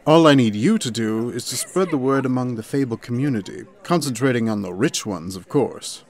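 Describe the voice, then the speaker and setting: A man's voice: mid-range voice, animated, fairly quick, close to an everyday voice. Smug, scheming prince played by a man. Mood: smug, persuasive. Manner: suave, self-satisfied. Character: smug, scheming charming prince, vain smooth talker. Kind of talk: comic dub voice acting.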